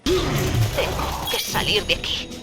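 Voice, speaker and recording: An actress playing a defiant, earnest young captive daughter in a video game, speaking with intensity. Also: female voice, mid-range voice, wildly swooping pitch, slow pace, loud music behind the voice. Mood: urgent. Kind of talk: video game dub acting.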